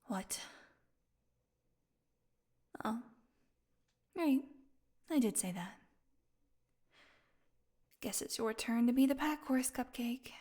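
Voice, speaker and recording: Slow, breathy, sardonic vampire woman played by an actress. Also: a little high, lively intonation, warm tone. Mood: groggy, sarcastic. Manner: sarcastic, breathy murmurs, drawn-out weary delivery. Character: weakened captive female vampire, sardonic, hungry, teasing. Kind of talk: intimate audio roleplay acting.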